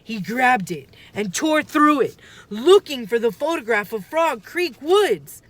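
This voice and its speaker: Slow, animated woman reading a story. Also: high-pitched, no echo. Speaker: storybook reader. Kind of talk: expressive children's book read-aloud.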